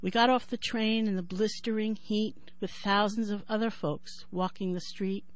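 A woman in her sixties with a New York accent who talks thoughtfully with many pauses and then reads her poem in a measured rhythm. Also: medium pitch, steady tone. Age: 60s. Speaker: poet and writer. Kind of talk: interview and poetry reading.

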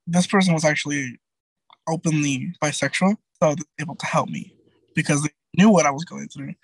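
A young man around twenty with a light, somewhat high voice, talking as a guest in a casual interview. He rambles in a friendly way, full of "like" and "um", and often restarts his sentences. The sound is close and dry. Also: no room sound, low-quality recording.

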